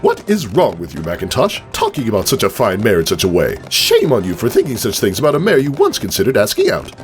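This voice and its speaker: A man's voice: lowish voice, huge pitch swings, fast talker, faint music behind, pitched above the performer's own voice. A man voicing a male farm pony who compulsively blurts blunt, scolding thoughts in an exasperated ramble. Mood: scolding himself.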